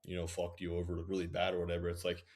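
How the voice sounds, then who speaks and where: Very low-voiced man, casual podcast host. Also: completely flat delivery, rapid-fire, darkish tone. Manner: profane, informal advice-giving. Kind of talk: casual reflective chat.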